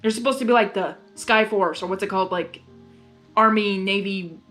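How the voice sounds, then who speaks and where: A woman reading fan fiction aloud as host, excitable and hyper, breaking off for informal reactions. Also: medium pitch, normal speed. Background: music.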